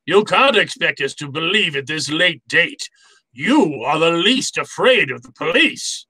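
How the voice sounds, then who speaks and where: An actor playing a jovial, cunning older crime boss in a radio drama, speaking with florid, formal politeness. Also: male voice, fairly high pitch, animated, unhurried, sharp tone, husky, no room sound, heavily acted, low-quality recording. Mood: wary, menacing. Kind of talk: old-time radio drama acting.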